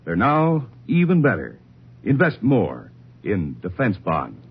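Lively, husky-voiced radio announcer, a man. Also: lowish voice, takes it slowly. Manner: persuasive, earnest. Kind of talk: 1950s radio savings-bond ad. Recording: dull old radio broadcast.

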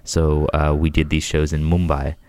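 A man's voice: rumbling low, little pitch movement, moderate pace, a little mellow, no room sound. A young American man, a comedian, chatting casually with lots of restarts and fillers. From United States. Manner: rambling, restarts, frequent "like". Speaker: comedian guest. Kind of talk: casual podcast chat.

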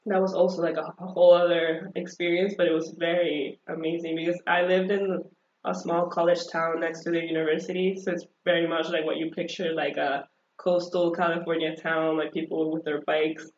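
A woman with a mid-range voice, chatting in an upbeat, animated way as a guest. She peppers her speech with fillers and laughs often. Her voice sounds roomy and dull, like a lo-fi recording. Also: lowish voice, fairly quick, slight background noise. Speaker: interview guest. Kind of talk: casual conversational interview.

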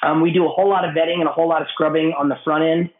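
A man with a cold, his voice raspy and hoarse, answering interview questions at length with many restarts. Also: fairly high pitch, level tone, rapid-fire. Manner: explanatory, with frequent restarts and fillers. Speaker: guest, business owner. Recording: tinny phone-like line in an echoey room.

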